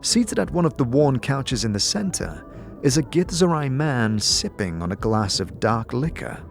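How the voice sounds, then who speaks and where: A man reading scripted second-person narration for a fantasy audio drama. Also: medium pitch. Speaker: game-style narrator. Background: music.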